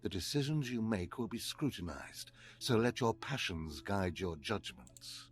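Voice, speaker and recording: An actor playing a stern, scheming older Sith overseer in a video game, speaking in a measured, formal, grave way. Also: male voice, fairly low pitch, animated, faint music behind. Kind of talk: scripted video game dialogue.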